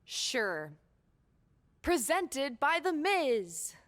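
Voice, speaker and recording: A woman's voice: high voice, big pitch swings, extremely slow, fairly bright tone, full character voice. A woman playing a sardonic, unimpressed wrestler co-host in a video game, with dry, teasing delivery. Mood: dry presenting. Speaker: wrestler co-hosting a show. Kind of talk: scripted video game cutscene acting.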